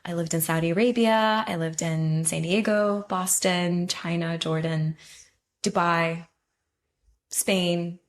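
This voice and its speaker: Soft-spoken woman, hesitant and chatty. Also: lowish voice, fairly even intonation, takes it slowly. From Ukraine. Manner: hesitant, many ums and restarts, laughs easily. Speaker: guest, travel consultant. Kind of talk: casual interview conversation.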